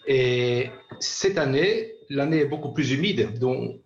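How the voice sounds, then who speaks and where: A man from Belgium with a slight Belgian accent, a radio guest answering in a hesitant, halting way over a remote line. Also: mid-range voice, animated. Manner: hesitant, halting, many pauses. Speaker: guest, company sales director. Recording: dull roomy remote line.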